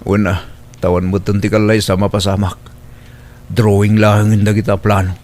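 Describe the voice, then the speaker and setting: A man's voice: lowish voice, level tone, moderate pace, a little mellow, natural voice. Man acting sullen grown Ilocano son. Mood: sullen, resigned. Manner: sullen, dismissive. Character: bitter, stubborn grown son, weary and defeated. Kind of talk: radio drama acting.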